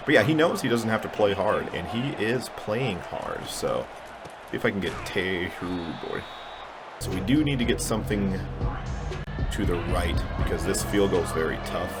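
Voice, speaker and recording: A man giving casual, mumbly, self-deprecating commentary while playing a video game. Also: deep voice. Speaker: gaming channel host. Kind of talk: casual let's-play commentary.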